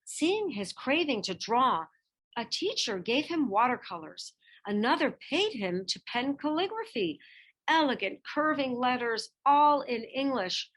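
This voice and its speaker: Slow, lively high-voiced woman reading a storybook. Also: poor audio. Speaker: reader of a children's picture book biography. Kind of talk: expressive scripted storybook narration.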